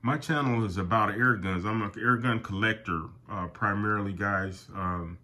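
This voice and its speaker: A man's voice: on the low side, normal speed, pushed and bright. An older man with a nasal voice from a summer cold, hosting his airgun collecting channel in a rambling casual talk to viewers. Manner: rambling, with many fillers and restarts, calls viewers "guys". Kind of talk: casual product talk to camera.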